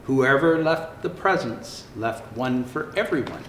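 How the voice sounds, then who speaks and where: A man playing a jolly old Santa Claus, reading picture books aloud to children in a theatrical, unhurried, expressive storytelling manner. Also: mid-range voice. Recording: roomy echo, faint background noise.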